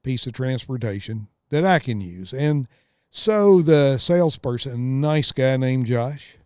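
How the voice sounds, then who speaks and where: A man's voice: mid-range voice, animated, unhurried, a little mellow, smooth, dry recording, studio quality. Laid-back man in his sixties. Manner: easygoing storyteller. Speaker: podcast co-host. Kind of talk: rambling casual chat. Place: outdoors on a lakeside tower.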